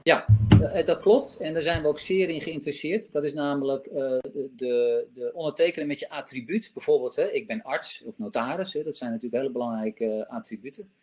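A man's voice: mid-range voice, fairly quick, few pauses, a little mellow. Hesitant man presenting on a video call. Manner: hesitant, many fillers. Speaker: company presenter. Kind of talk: live software demo and Q&A. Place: home office, indoors. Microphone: laptop webcam on video call.

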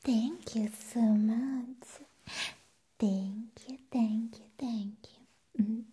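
Woman acting a slow whispering vampire nurse. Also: a little high, steady tone, mellow, exaggerated voice. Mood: sweetly polite. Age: young adult. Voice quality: breathy whisper.

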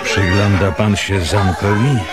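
A wary village schoolteacher played by a man in a radio play, haughty and clipped. The voice is low and slow, set against loud music and chatter. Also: steady tone, natural voice. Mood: disdainful. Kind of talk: radio drama acting.

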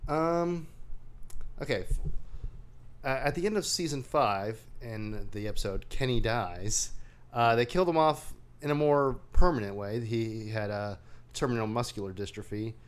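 A man co-hosting a casual movie discussion, recapping a film in a halting, wry way. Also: lowish voice. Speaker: co-host of a movie discussion show.

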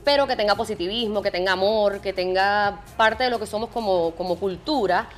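A woman's voice: mid-range voice, a little fast, soft background music. Chatty puerto rican woman on a tv set. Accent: Puerto Rican Spanish. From Puerto Rico. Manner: chatty, laughs easily, animated. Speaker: actress and t-shirt designer, guest. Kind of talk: casual interview chat. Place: TV studio set.

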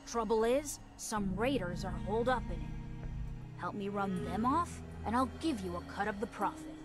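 A woman's voice: mid-range voice, lively intonation, moderate pace, over music, close to an everyday voice. Streetwise scavenger woman played by an actress. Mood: businesslike. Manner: matter-of-fact, streetwise bargaining. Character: brisk, businesslike wasteland scavenger woman hiring mercenaries. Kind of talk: video game dialogue.

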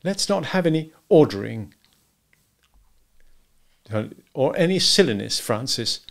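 A male narrator plays a cool, unrepentant wife. The voice is lifted and soft, sweetly placating yet dismissive and taunting, in a dramatised storybook style. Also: on the high side, sing-song, brisk, pitched above the performer's own voice. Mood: pleasant, firm.

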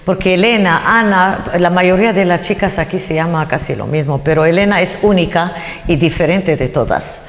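A woman's voice: fairly low pitch, normal speed. A woman with a foreign accent co-hosting a TV interview, gushing with compliments and effusive praise for her guests. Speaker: TV show co-host. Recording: echoey hall with long reverb.

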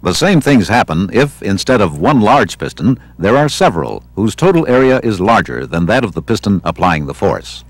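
Low, lively American male training-film narrator. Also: moderate pace, hardly any pauses, no room sound. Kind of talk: scripted instructional narration.

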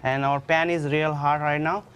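A man's voice: fairly high pitch, level tone, moderate pace, a bit bright, clean tone. Male chef, thirties, non-native English, patient step-by-step explainer, lapel mic in a TV studio kitchen. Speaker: restaurant chef. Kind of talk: cooking demonstration on a TV show.

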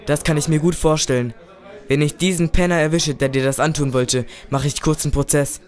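Protective young suitor, male actor, aristocrat, slightly high male voice, eager, audio drama. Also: fairly even intonation, a little fast, close to an everyday voice. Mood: vengeful. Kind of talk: German amateur audio drama dialogue.

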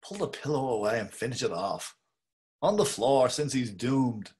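A man's voice: medium pitch, sing-song, a little mellow, natural voice. A middle-aged actor playing a wry, musing Dublin man, reading over a video call. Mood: brooding. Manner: musing inner monologue, slow and measured. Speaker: actor reading a male lead. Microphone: webcam on a video call.

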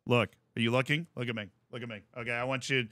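An American man streaming casually while he plays, explaining things in an animated, rambling way and often checking in with "right?". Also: medium pitch, fast, no room sound, clean studio sound. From United States. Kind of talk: casual chat while playing.

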